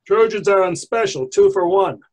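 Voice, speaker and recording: A woman's voice: mid-range voice, big pitch swings, moderate pace, vocal fry, a little mellow. A woman reading announcements and small scripted parts for a readers theatre. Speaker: announcer and bit-part reader.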